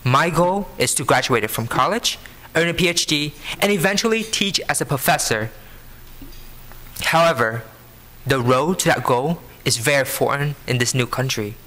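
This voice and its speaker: A man in his early twenties with a Vietnamese accent, giving an earnest prepared speech to an audience, phrasing deliberately. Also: on the high side, bright, forceful tone. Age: early 20s. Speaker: scholarship program student speaker. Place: live benefit event before an audience.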